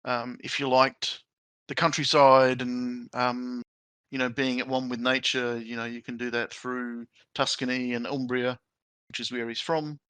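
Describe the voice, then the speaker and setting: Bright-voiced man chatting. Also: mid-range voice, little pitch movement, measured pace, clear, resonant tone, no room sound. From Australia. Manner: loose and chatty, leans on "you know" and "um". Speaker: podcast host. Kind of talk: casual chat.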